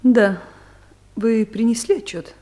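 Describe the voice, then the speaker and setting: A woman's voice: fairly high pitch, takes it slowly, mellow. An actress playing a stern, easily offended middle-aged female director, curt and commanding, in a Soviet radio comedy. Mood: businesslike.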